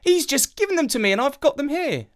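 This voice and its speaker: An eager, cheerful young storybook boy, acted out by a narrator. The pitch jumps far above the narrator's own and swoops about. He is excitable and keen. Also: quick pace. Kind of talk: children's storybook character voice.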